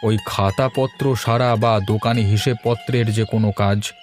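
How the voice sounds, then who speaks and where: A man's voice: lowish voice, steady tone, moderate pace, faint music behind, lightly acted. A man voicing a weary, resigned elderly father-in-law in cartoon dialogue. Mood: weary, polite.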